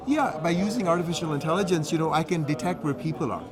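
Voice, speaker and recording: A man talking fast and eagerly through technical details, his voice sitting a little high. He is recorded on a busy trade show floor, with a crowd murmuring all around. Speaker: company representative. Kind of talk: trade show product interview.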